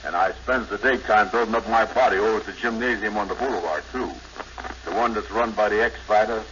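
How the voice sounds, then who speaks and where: An actor playing a gullible, slow-witted middle-aged man, earnest and simple, in 1950s radio comedy style. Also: lowish voice, close to an everyday voice. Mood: proud. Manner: earnest, simple, drawn-out yeahs, ungrammatical. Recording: muffled, faintly noisy 1950s radio recording.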